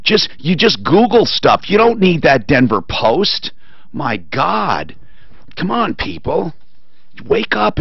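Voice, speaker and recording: Man, radio talk host, opinionated, emphatic, very lively pitch, dull lo-fi sound. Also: gravelly, breathy edge, no room sound. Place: radio studio. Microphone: studio boom mic with headphones.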